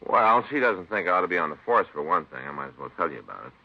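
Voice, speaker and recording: On a muffled vintage radio recording, a folksy married detective with a very low, creaky voice. He is sheepish and hesitant, hedging and rambling in an old crime-drama style. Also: very animated, quick pace, heavily acted. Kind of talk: 1950s radio drama acting.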